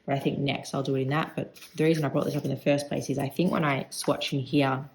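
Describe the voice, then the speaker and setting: A woman with a croaky voice today, thinking aloud in a chatty, rambling review while she swatches ink at her desk. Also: a bass voice, level tone, fast talker, a little mellow. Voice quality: vocal fry. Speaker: stationery vlogger.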